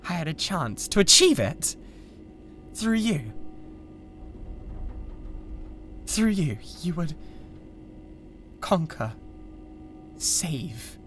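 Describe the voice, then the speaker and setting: A pompous British mad scientist with a god complex in an audio roleplay. The voice is sharp and swings widely in pitch, theatrical and full of contempt, with faint noise behind it. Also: male voice, full character voice. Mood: disappointed, menacing. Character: grandiose, volatile mad scientist playing god. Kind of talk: scripted ASMR audio roleplay.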